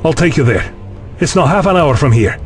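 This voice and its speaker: A man acts out an anxious, plain-spoken human ally in an audio drama. His voice is slightly high, with a questioning, wry edge. Faint music sits behind the dialogue. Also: expressive, a little fast, lightly acted. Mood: worried.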